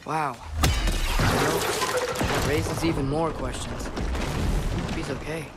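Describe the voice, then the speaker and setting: A man's voice: fairly high pitch, steady tone, slow, loud music behind the voice. A man playing an earnest, curious teenage archer in a video game, with a casual, sincere manner. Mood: curious, pensive. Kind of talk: video game cinematic acting.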